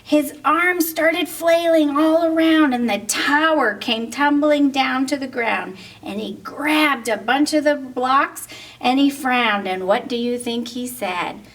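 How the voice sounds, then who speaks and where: A woman reading a picture book aloud to children, animated and pausing to ask her young listeners questions. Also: sits high, distant mic, low-quality recording. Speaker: story reader.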